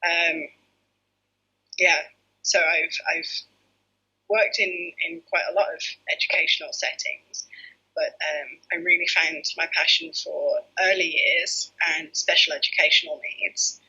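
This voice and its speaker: A woman, a head teacher telling her story hesitantly, with many fillers and a breathy voice. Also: deep voice, fairly even intonation, measured pace, sharp tone. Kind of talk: personal story in interview. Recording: muffled lo-fi audio.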